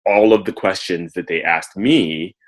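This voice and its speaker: A Canadian man in his thirties, a guest telling his story in a relaxed way, with many ums. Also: low-pitched, unhurried. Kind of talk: casual interview.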